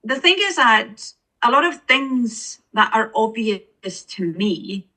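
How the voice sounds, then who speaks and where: A woman's voice: a little high, lively intonation, slow, sharp tone. A woman with an Estonian accent, an author speaking as an interview guest, earnest and searching for words with many restarts. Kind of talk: reflective interview conversation.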